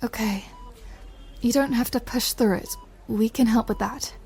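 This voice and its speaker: A woman playing a gentle, patient recovery-room clinician, speaking softly in an ASMR roleplay. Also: on the high side, unhurried, husky, exaggerated voice. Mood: soothing. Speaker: voice actor in an audio roleplay. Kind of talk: soft ASMR comfort roleplay.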